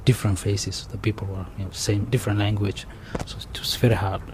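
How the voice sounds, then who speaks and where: A low-pitched man with a Somali accent speaks haltingly, stopping to restart and filling gaps with "you know." Faint noise sits under the recording. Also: level tone. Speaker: interviewee. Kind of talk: oral history interview.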